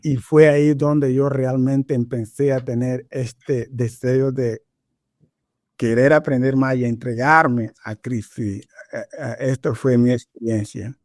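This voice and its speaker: A man from Angola whose first language is Portuguese, a pastor telling his life story in a podcast interview, halting and searching for words with many fillers. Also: medium pitch, steady tone, a little mellow, no echo, clean studio sound. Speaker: pastor, guest.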